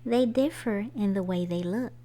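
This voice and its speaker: A woman reading a children's picture book aloud in an expressive storytime style, putting questions to young listeners. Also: medium pitch, moderate pace.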